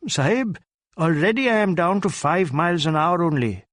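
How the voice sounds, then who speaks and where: A man's voice: fairly high pitch, animated, normal speed, fairly bright tone, no room sound, clean studio sound, voice pushed up. A man voicing a respectful, earnest Indian police inspector playing chauffeur in an audiobook, speaking carefully and deferentially. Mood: respectful, urgent.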